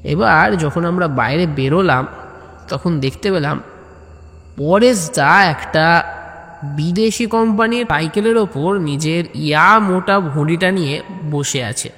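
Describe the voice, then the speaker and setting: A man's voice: fairly high pitch, a little slow, a bit bright, big-room sound. A man narrating a comic story conversationally, in the first person.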